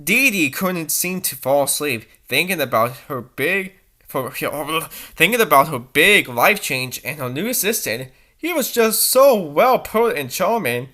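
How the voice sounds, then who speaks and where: A woman's voice: low voice, animated, unhurried, a bit bright. A woman reading a story aloud for comedy, with sarcastic, amused commentary in a mocking style. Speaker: reader and commentator.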